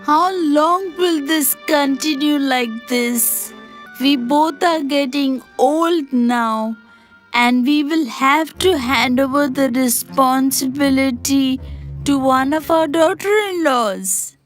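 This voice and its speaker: Cartoon mother-in-law , female performer: older, demanding, scolding, pitched high and spoken slowly. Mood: resolute. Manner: scolding, slow, deliberate delivery. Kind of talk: animated moral story dialogue. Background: music.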